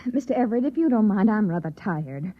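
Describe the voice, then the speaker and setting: An actress playing a skeptical, weary housewife in an old-time radio drama, dry and exasperated. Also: female voice, medium pitch, expressive, moderate pace, lightly acted. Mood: weary, sarcastic. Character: skeptical, weary housewife doubting a wild tale. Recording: dull old radio recording.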